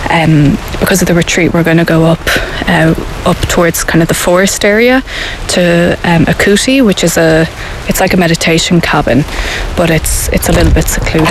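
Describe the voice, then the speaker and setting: A fairly low-pitched woman who grew up speaking Irish talks in a relaxed, thoughtful way. She pauses often and restarts her sentences. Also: a little hiss or hum, rough recording. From Ireland. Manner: thoughtful, many fillers and restarts. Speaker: interviewee at a meditation centre. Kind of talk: relaxed explanatory talk.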